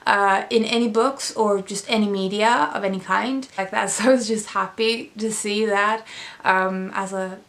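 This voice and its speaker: A woman's voice: mid-range voice, gravelly, a bit bright. A young woman, a native Swedish speaker, talking casually and thoughtfully about books she has read, with many fillers and restarts. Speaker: book vlogger. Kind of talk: casual book review chat.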